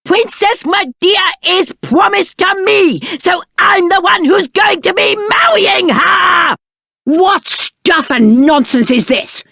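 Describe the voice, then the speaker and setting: A man's voice: very high-pitched, expressive, slow, creaky, bright, forceful tone, no room sound, clean studio sound, exaggerated voice. A British man playing a spoiled, cowardly young prince in a video game, with a pompous, petulant and theatrical delivery. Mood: outraged. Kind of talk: video game character acting.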